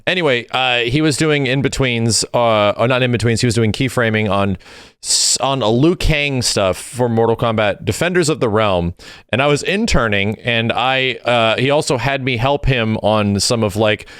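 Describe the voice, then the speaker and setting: A man's voice: mid-range voice, normal speed, fairly bright tone, clear, resonant tone, dry recording, clean studio sound. A man co-hosting a podcast, chatting casually as he reminisces and comments. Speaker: podcast co-host.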